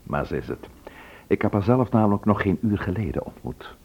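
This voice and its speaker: An actor playing a thoughtful, probing police inspector in a radio drama, polite and deliberate as he questions. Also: on the low side, very animated, husky, full character voice. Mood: decisive, alert. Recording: slightly muffled old radio recording.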